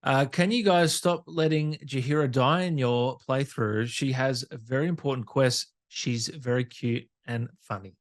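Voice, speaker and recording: Man hosting a casual interview, medium-pitched. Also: normal speed, few pauses. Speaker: podcast host, interviewer. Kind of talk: casual interview, reading out fan questions.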